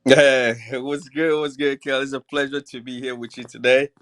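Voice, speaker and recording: A man in his forties from Cameroon with a Cameroonian accent, chatting as a podcast guest, relaxed and laughing easily between filler pauses. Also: mid-range voice. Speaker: podcast guest, clothing brand founder. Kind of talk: casual interview chat.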